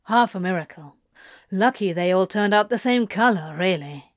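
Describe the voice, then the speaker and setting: A woman's voice: medium pitch, expressive, moderate pace, no room sound, clean studio sound, natural voice. A woman voicing a flustered, defensive, foul-mouthed male supernatural being who mutters and snaps evasively in an audiobook story. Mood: awkward. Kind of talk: fan fiction audiobook character voice.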